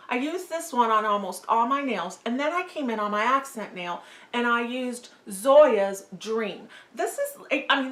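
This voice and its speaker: A woman's voice: a little high, a bit bright, reverberant. A woman talking casually through a nail polish tutorial, gushing and enthusiastic, with frequent fillers. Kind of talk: casual beauty tutorial talk.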